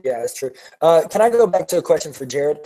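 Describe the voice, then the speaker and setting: A man hosting a comedy podcast game, bantering casually in a playful, somewhat halting way. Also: fairly high pitch, expressive, a crowd in the background, rough recording.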